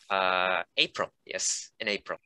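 A man in his twenties from Indonesia, an international student explaining things haltingly on a video call. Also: low-pitched, fairly even intonation, bright, forceful tone, clear, resonant tone, no echo, low-quality recording. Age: 20s. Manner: halting, searching for words in English.

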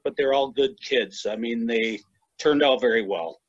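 A man's voice: mid-range voice, normal speed, slightly rough, dull audio. A middle-aged American man answering questions on a video call, thoughtful and restarting as he finds his words. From United States. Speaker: guest.

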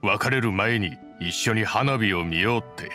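A man's voice: lowish voice, moderate pace, pushed and bright, soft background music. An actor playing a modest, dependable craftsman in an anime game, speaking in a casual, sincere and plain-spoken way. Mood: wistful. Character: modest, dependable adult male boat builder in anime game.

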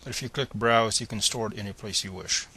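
A man's voice: lowish voice, fairly quick, pushed and bright, slightly rough. A man presenting a step-by-step screencast tutorial in a plain, methodical, instructional manner.

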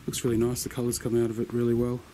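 A man's voice: medium pitch, very fast, slight background noise. A man casually narrating his off-grid building project outdoors, easygoing with plenty of ums. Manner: relaxed, easygoing, lots of ums and yeahs. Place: outdoors in bushland and a work shed.

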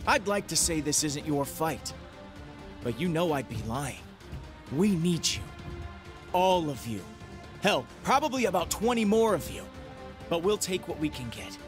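Cocky young game hero, earnest, emphatic, lively swings, music behind. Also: male voice, a little high, measured pace, long pauses. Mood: rousing. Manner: emphatic, speechifying. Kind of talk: video game cutscene acting.

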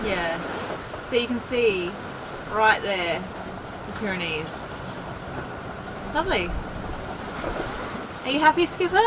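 A woman's voice: medium pitch, expressive, takes it slowly, long pauses. A woman in her thirties hosting a sailing vlog, chatting casually and thinking aloud from the cockpit of a sailboat. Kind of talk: casual chat while sailing. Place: outdoors on a sailboat cockpit at sea. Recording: clear wind noise outdoors.

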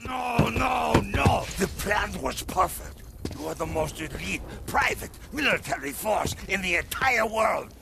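Game villain: brutal middle-aged general, pompous, slow and deliberate, music underneath. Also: male voice, sits high, lively intonation, gravelly, exaggerated voice. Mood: furious rage. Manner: pompous, deliberate, theatrical, formal phrasing. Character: brutal middle-aged male island dictator general, grandiose, menacing. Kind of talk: dramatic video game cutscene acting. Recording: music behind the voice.

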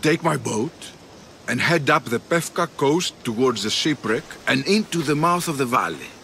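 An actor playing a proud older arena patron in a video game cutscene, speaking in a measured, coaxing way with dramatic pauses. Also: male voice, mid-range voice, lively intonation, vocal fry, pushed and bright, slight background noise. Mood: persuasive.

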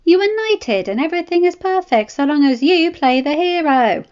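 A woman voicing a pregnant young noblewoman, sharp-tongued and fierce, with a high, heated scolding manner, read as a storybook. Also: expressive, moderate pace. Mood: angry. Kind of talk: storybook reading. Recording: slightly dull lo-fi recording.

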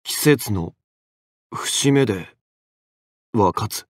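A man's voice: fairly low pitch, expressive, very slow, a little hiss or hum. A man voicing a brooding, reserved young warrior in an anime game, terse and subdued. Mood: serious, subdued.

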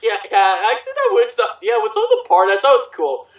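A woman's voice: fairly high pitch, wildly swooping pitch, quick pace. A woman chatting casually and reading a story aloud on a group voice call in an echoing room.

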